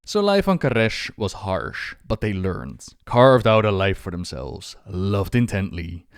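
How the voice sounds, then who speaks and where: A man tells fantasy game lore as a scripted narration, phrasing it like a dramatic storyteller. His voice sits a little low and is recorded very dry. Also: animated, unhurried, smooth, no echo.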